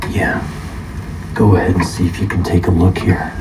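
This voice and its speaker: Male, very low voice, calm ASMR shop appraiser, flat and unhurried, half-whispered at times, clear background noise. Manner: calm, measured, partly whispering. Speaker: guitar shop appraiser in a roleplay. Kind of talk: ASMR roleplay, soft-spoken.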